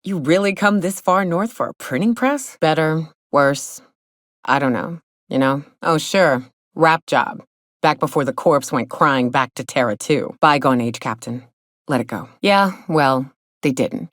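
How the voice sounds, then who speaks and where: An actress playing a gruff, hard-drinking female monster hunter in a video game, sardonic and blunt, with a loose, earthy drawl. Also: low-pitched, dry recording, clean studio sound. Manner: sardonic, blunt, swaggering, colloquial swearing. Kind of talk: video game character dialogue. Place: close, dry studio recording.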